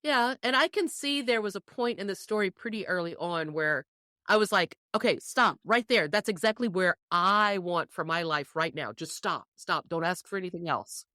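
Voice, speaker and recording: A woman podcast panelist casually discussing a folk tale, telling it in an expressive storytelling way. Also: fairly low pitch, normal speed, no room sound, studio quality.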